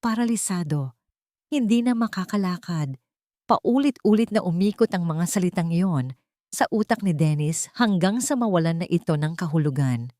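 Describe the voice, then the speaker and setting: A woman's voice: fairly low pitch, mellow, no echo, clean studio sound. A woman storyteller reading a scripted audiobook in a dramatic narrative style.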